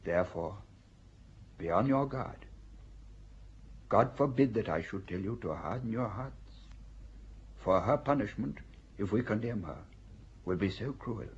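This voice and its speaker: An actor playing a stern elderly male inquisitor who lectures a court in a solemn, measured, sermon-like way. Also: lowish voice, husky, exaggerated voice. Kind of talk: audio drama acting. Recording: dull lo-fi recording.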